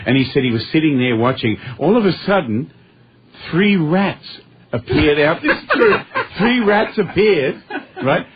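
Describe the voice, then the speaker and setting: A middle-aged man, a guest musician, telling lively anecdotes in a casual radio interview. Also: fairly high pitch, measured pace, slight background noise. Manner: animated storyteller, chuckles through anecdotes. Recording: dull lo-fi radio sound.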